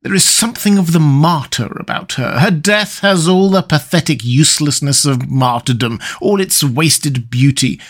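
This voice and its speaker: A man reading a classic novel aloud, voicing a callous, vain young gentleman in an affected, theatrical manner. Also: on the high side, moderate pace, hardly any pauses, voice pushed up, lightly acted. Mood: self-absorbed, grandiose.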